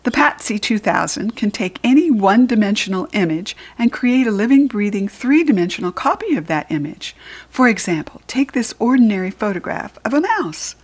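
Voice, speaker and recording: A woman's voice: mid-range voice, animated, normal speed, slightly rough. A woman voicing a proud, suspicious brainy schoolboy inventor in a children's storybook, pedantic and showing off.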